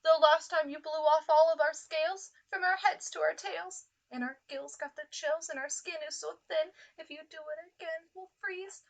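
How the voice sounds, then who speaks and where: A woman's voice: high-pitched, fairly even intonation, moderate pace, vocal fry, hardly any pauses, pitched above the performer's own voice. A woman voicing an anxious fish who pleads in singsong rhyme in a storybook. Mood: worried pleading.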